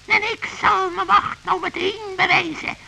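A woman's voice: extremely high pitch, steady tone, measured pace, gravelly, noisy background, exaggerated voice. A woman voicing a scheming, gloating female forest witch in a children's radio play, cackling and drawing out her words.

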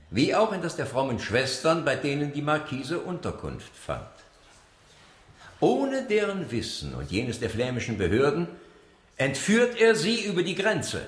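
An actor playing an eloquent, indignant defence lawyer in a period radio drama, declaiming rhetorically. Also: male voice, a little high. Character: impassioned, eloquent male defence lawyer in 17th-century Paris. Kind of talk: radio drama courtroom oratory. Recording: echoey courtroom-like room.

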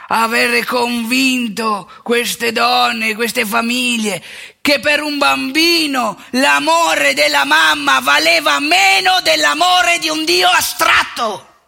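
A woman's voice: on the high side, creaky, pushed and bright, full character voice. A woman playing a wry, wounded old former seminarian priest in a theatrical monologue, talking colloquially and prodding the audience with questions. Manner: colloquial, teasing, rhetorical questions and tag words. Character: aging Veneto ex-seminarian priest, wry, wounded, ranting storyteller. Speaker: stage monologue actor.

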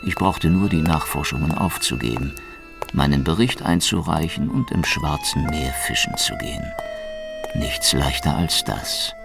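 A world-weary male secret policeman in a noir radio drama. His voice sits very low and crackles with gravel. He delivers everything with dry, cynical irony over clearly audible music. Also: a little slow, heavily acted. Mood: brooding, dry. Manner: sardonic, dry. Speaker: actor and narrator in a radio play. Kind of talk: noir radio drama, hard-boiled first-person narration.

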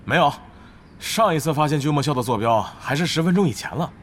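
A shrewd, scornful male guild leader in an audio drama. His voice sits fairly high and moves a lot, with faint noise behind. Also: expressive, fast, fairly bright tone. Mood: questioning. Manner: sceptical, scornful. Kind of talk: Chinese audio drama acting.

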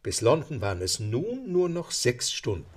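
A man narrating a German radio play with deliberate, emphatic storytelling. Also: mid-range voice, huge pitch swings.

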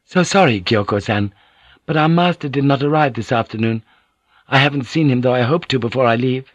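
A man's voice: mid-range voice, brisk, no echo, voice pushed up, lightly acted. A man voicing a poised, formal Japanese noblewoman in an audiobook, speaking with polite restraint. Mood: polite, regretful.

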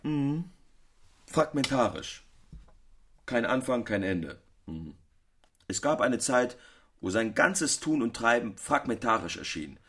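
Casting: a teasing, sceptical gentleman of letters, mid-range husky voice, pointed wry delivery. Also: medium pitch, sing-song, takes it slowly, pauses often, exaggerated voice. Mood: wry, musing. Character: sceptical male literary friend, teasing and demanding answers. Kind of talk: literary audio drama dialogue.

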